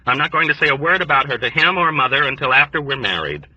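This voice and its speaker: A man's voice: medium pitch, animated, fast talker, sharp tone, no echo, highs cut off, voice pushed up. A man voicing a lovesick young airman who protests earnestly and defensively. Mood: defiant. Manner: earnest, shamed, then desperate protest.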